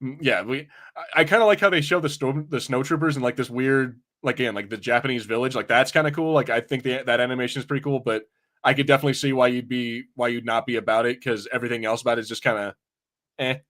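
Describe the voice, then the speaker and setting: Informal male podcast host, medium pitch. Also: quick pace, fairly bright tone. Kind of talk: casual film and TV review chat.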